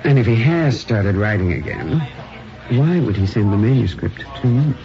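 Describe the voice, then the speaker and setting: An actor playing a wry, skeptical, put-upon junior book editor in a radio drama, speaking sardonically. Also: male voice, on the low side, expressive, moderate pace, warm tone. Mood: teasing skepticism. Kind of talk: naturalistic radio drama acting. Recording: dull old radio broadcast, faint music.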